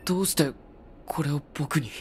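A man's voice: fairly high pitch, moderate pace, a little hiss or hum, natural voice. An actor playing a troubled young male android soldier in a video game, polite and earnest. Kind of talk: dramatic video game acting.

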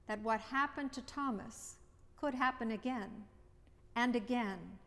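A middle-aged woman priest gives a sermon from a lectern in a church. She speaks very slowly and reflectively in short, repeated phrases, her voice slightly high. The sound carries a long reverb from the church. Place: church chancel, indoors. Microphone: at a lectern, far camera.

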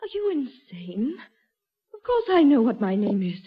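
An actress playing a frightened, bewildered young woman, halting and pleading in 1940s radio style. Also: on the high side, sing-song, slightly rough, heavily acted. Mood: distraught, insistent. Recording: dull lo-fi 1940s radio recording.

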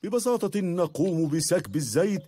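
A man's voice: high voice, animated, normal speed, mellow, slight background noise. A man voicing a confident, bumbling, good-natured father pig in a preschool cartoon, explaining things with self-assurance. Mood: self-assured. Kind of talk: Arabic-dubbed preschool cartoon.

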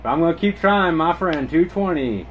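A man's voice: fairly high pitch, lively intonation, moderate pace. A man working as a CB radio operator, making friendly, repetitive call-sign calls in radio slang. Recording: noisy radio static in the room. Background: CB radio static.

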